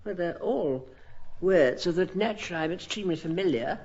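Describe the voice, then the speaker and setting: A woman's voice: lowish voice, animated, brisk. A woman bantering as a radio quiz panellist in a playful, mock-prim manner. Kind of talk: casual panel-show banter. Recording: dull radio recording, loud audience.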